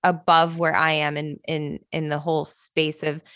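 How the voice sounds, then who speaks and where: A woman's voice: sits low, little pitch movement, moderate pace, a bit bright, dry recording, studio quality. Gushing, effusive woman podcast host. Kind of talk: casual interview chat.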